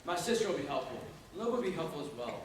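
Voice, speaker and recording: A man plays a pious, stern reverend in a stage drama, stiff and formal, pitched high for a man. The voice is distant in a strongly echoing hall. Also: very animated, fast. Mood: cold, righteous. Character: stern pious reverend husband committing his wife. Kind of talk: stage play acting. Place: auditorium stage, recorded from far off. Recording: very echoey stage, distant, clear hiss.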